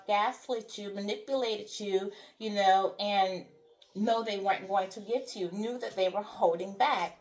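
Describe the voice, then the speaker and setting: A woman giving a spoken tarot reading to her viewers, repeating herself and checking in with "all right?" and "okay?", her voice going hoarse. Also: mid-range voice. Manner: repetitive, reassuring with frequent "all right? okay?". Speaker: tarot card reader.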